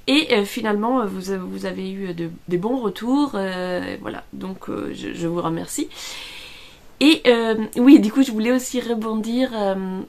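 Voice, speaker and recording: A middle-aged woman from Italy with a clear Italian accent, speaking casually at home. Her voice sits mid-range with a gravelly edge. She hesitates often, tired after an illness. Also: mid-range voice. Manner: hesitant, many fillers and restarts. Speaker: vlogger. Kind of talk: casual chat.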